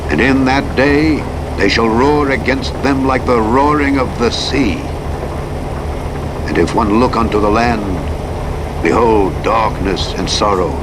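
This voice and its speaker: Low male voice, Bible narrator, solemn, measured declamation, played over noticeable truck engine and road noise. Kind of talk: solemn scripture reading. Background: truck simulator vehicle noise.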